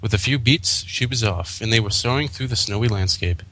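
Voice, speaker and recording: A man's voice: on the low side, brisk, bright, forceful tone, rough recording. A man co-hosting and narrating a fan fiction story in a read-aloud style. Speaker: co-host, narrator. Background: background music.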